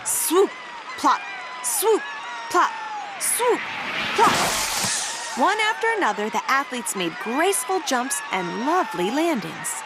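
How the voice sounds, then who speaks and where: High-voiced, very animated woman reading a storybook. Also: takes it slowly, pauses often, heavy background noise. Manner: expressive, dramatic, playful emphasis on words. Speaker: read-aloud storyteller. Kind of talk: animated children's picture book reading.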